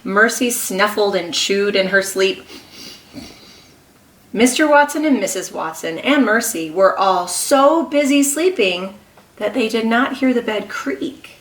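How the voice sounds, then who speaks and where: A woman kindergarten teacher reading a story aloud to young children, expressive and encouraging, pausing to ask them questions. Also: medium pitch, far from the mic. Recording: echoey room.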